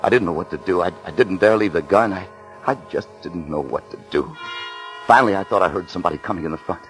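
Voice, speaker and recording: A man acting as a desperate, down-on-his-luck American husband in a vintage radio thriller, with a very low voice. He is nervous and stammers as he confesses, with music behind him on the old recording. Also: quick pace, lightly acted. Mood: shaken, panicky. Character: panicky, down-on-his-luck husband on the run, narrating his crime.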